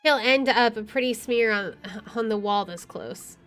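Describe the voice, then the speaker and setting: High-voiced woman reading game text aloud. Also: normal speed, a bit bright, clean tone. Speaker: let's play streamer. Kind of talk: reading visual novel text aloud with casual commentary. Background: faint game music.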